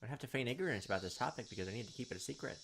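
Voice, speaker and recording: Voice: mid-range voice, expressive, rapid-fire. A gameplay host with a raw, scratchy throat, mixing casual, wry commentary with reading visual novel text aloud. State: sore, scratchy throat from winter weather. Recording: music behind the voice.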